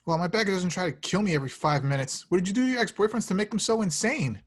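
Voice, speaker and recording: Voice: medium pitch, animated, fast talker, breathy edge. An actor playing an awkward, sardonic young slacker hero in a casual, wry table read. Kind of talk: amateur screenplay table read.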